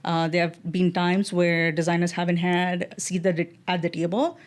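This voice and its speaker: Soft-spoken Indian woman, measured. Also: fairly low pitch, little pitch movement. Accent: Indian English. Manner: measured, many fillers and restarts. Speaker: guest, design executive. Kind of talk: podcast interview answers.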